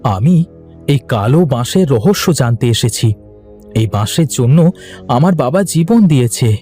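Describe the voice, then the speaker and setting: Guy doing young cartoon woodcutter, earnest n excitable, repeats himself, very animated pitch, faint music. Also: measured pace, close to an everyday voice. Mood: tense, determined. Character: earnest, determined young village woodcutter son. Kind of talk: cartoon voice acting.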